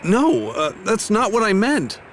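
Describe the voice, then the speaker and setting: An actor playing a flustered, excitable, awkwardly apologetic young man in a video game. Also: sits high, unhurried, a little hiss or hum, full character voice. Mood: startled, flustered. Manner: flustered, stammering. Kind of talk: dubbed video game cutscene acting.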